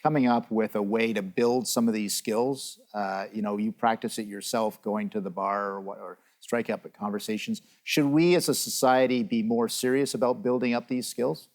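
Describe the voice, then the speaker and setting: A man hosting a TV interview, asking questions in a halting way with hesitations. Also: lowish voice, fairly even intonation, a little mellow. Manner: halting, many fillers and restarts when asking. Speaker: TV interview host.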